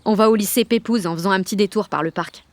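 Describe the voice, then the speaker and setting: A woman's voice: mid-range voice, fast, dry recording, close to an everyday voice. A woman playing a rebellious, sardonic sixteen-year-old schoolgirl who tells her story in blunt, swearing slang in an audio drama. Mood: wry. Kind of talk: first-person audio drama narration in slangy speech.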